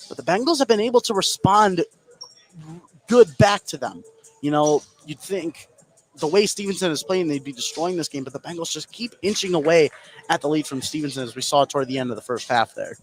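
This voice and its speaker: A man's voice: fairly high pitch, lively intonation, a little fast, soft background music, low-quality recording. A man working as color analyst, giving live basketball analysis in a gym. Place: indoor gym. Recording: gym noise behind voice. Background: gym ambience.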